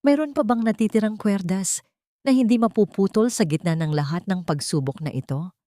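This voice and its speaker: A woman voicing a grieving but resolute young heiress who speaks quietly and steadily in an audio story. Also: medium pitch, brisk, dry recording, clean studio sound, close to an everyday voice. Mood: weary.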